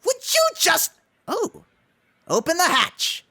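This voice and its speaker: A woman voicing an excitable, testy male cartoon duck hero in a live comic dub. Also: very high-pitched, takes it slowly, vocal fry, no echo, clean studio sound, heavily acted. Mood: exasperated.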